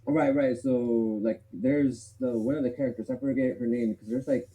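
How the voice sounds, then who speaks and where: A man's voice: medium pitch, moderate pace, low-quality recording. A man eagerly reviewing comics in a casual chat, rambling with lots of filler words. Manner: excited, rambling with many fillers. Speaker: guest reviewer.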